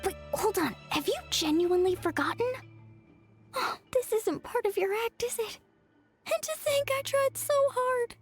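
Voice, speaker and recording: Young anime heroine, high-pitched, confident, lively, faint background music. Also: female voice, normal speed. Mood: disappointed. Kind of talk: anime-style game voice acting.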